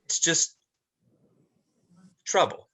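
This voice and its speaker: Man playing nervous, halting, bright-voiced young man. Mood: uneasy. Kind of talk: livestream dramatized script reading.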